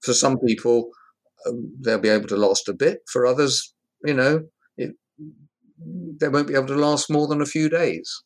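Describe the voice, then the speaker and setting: A man as an interview guest, speaking in a measured, thoughtful way with frequent pauses and fillers. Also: mid-range voice, steady tone, poor audio. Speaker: hospitality industry guest expert. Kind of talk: conversational interview.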